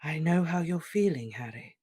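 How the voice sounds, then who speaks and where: A reader voicing an old male headmaster wizard, speaking in a calm, measured, gentle way. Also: medium pitch, slightly rough, pitched above the performer's own voice. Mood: serene. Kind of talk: audiobook character reading.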